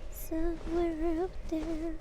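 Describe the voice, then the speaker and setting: A woman's voice: very high voice, completely flat delivery, slow, noisy background. A woman doing casual live gameplay commentary, thinking aloud in an informal way. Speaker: game streamer. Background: faint game music.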